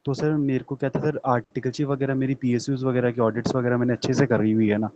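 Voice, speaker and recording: Very quick-talking, deferential young Indian man. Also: medium pitch, flat delivery, a little mellow, muffled recording, a little hiss or hum. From Rajasthan, India. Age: young adult. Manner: deferential, says sir constantly. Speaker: webinar caller, accountancy candidate. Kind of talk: asking a question on a call.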